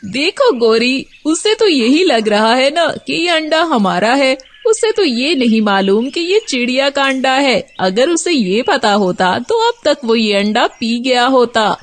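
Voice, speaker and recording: High-pitched male cartoon crow, done by a woman, kindly husband, calm, gentle, reassuring. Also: a little slow, hardly any pauses. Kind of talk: Hindi children's cartoon voice acting.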